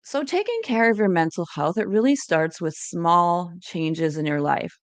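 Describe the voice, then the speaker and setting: A woman's voice: lowish voice, lively intonation, a little slow, no echo. A woman giving an educational presentation, reading encouraging tips in a scripted, list-like way.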